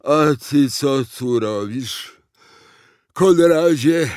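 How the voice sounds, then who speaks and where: A man voicing a fat, lazy, gluttonous president in a storybook, with laboured, sighing, halting speech. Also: mid-range voice, expressive, darkish tone, dry recording, studio quality, voice pushed up. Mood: sluggish, affable.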